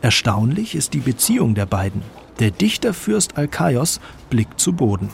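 A man's voice: medium pitch, sing-song, moderate pace, creaky, a little hiss or hum. A man reading scripted narration as the narrator of a radio documentary.

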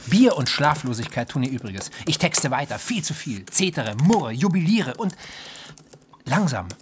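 An actor playing a restless young writer who tells of his own mania in an intense, expressive literary radio play monologue. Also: on the high side, moderate pace, slight background noise. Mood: agitated, eager. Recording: studio voice with music behind. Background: music.

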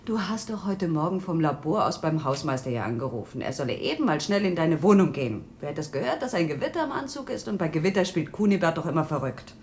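Breezy, very animated girlfriend. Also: sits low, fast, few pauses, slight background noise. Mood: breezy, teasing. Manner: chatty, teasing, expressive. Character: Antje, breezy, teasing girlfriend with a secret. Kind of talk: German radio drama acting.

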